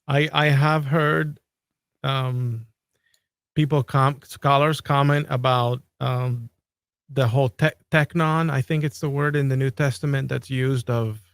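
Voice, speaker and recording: A man from Colombia speaks in an unhurried, thoughtful way in a casual podcast chat, his voice at an ordinary middle pitch. The sound is dry and close, with no room around it. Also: no echo. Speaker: podcast host. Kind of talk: casual conversation.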